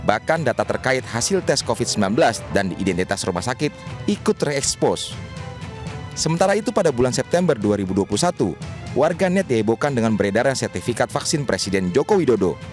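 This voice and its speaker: A male news reporter reading a scripted voice-over, with a lively, rising and falling pitch. Clear background music plays under the narration. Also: fast talker.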